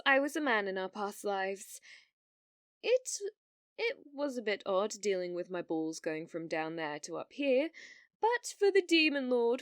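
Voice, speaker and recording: A woman's voice: high voice, no echo, clean studio sound. A woman playing a delusional, obsessive young woman who believes she is a reincarnated demon lord, speaking in grand, archaic phrases in an ASMR roleplay. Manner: grandiose, archaic, theatrical phrasing with flustered stammers.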